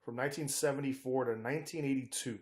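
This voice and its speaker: Man co-hosting a podcast, halting and conversational. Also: medium pitch, steady tone. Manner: halting, groping for words and names. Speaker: podcast co-host. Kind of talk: casual conversational true-crime podcast.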